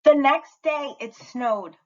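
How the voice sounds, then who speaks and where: A woman reading a children's story aloud with dramatic, deliberate expression. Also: high voice, muffled recording. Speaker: storybook reader at a school read-aloud.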